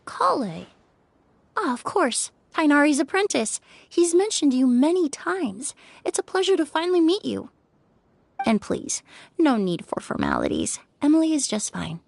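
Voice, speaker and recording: Composed, higher-pitched young woman investigator. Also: huge pitch swings, vocal fry, exaggerated voice. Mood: courteous. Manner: measured, polite, precise. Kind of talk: video game voice acting. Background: background music.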